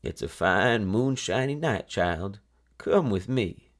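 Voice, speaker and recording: A man's voice: fairly low pitch, expressive, slow. A man voicing a kindly, folksy old woman of the backwoods in a storybook reading. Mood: folksy, content.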